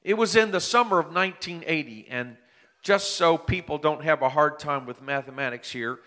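A man's voice: a little high, normal speed, pushed and bright. Late-fifties male preacher, earnest testimony sermon, rises to emphatic peaks, reverberant hall. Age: 50s.

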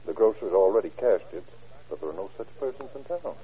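A man's voice: on the low side, lively intonation, fast, slightly rough. An actor playing a matter-of-fact man reporting on a police case in an old radio drama, plain and explanatory. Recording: dull old radio recording.

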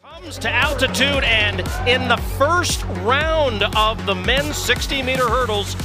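A man's voice: very high voice, measured pace, music in the background. A man calling a track race in live sports commentary style.

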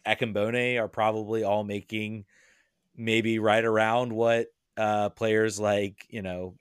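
A man with a lowish voice talks soccer on a podcast, rambling and restarting his sentences as he goes. The sound is dry and close, with little room around it. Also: little pitch movement, takes it slowly, clear, resonant tone, no room sound. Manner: rambling, many restarts and fillers. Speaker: podcast guest, soccer fan. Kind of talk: casual podcast chat.

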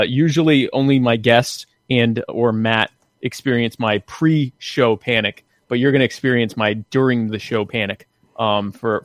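A man's voice: mid-range voice, no room sound, studio quality. Rambling, wry man hosting a podcast. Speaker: podcast host. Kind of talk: casual conversational podcast chat.

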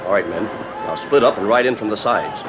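Man playing a low-voiced, commanding outlaw boss. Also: normal speed, lightly acted. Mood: menacing. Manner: curt, commanding. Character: cold, commanding western outlaw gang boss. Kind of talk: old-time radio western acting. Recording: muffled, noisy old radio recording.

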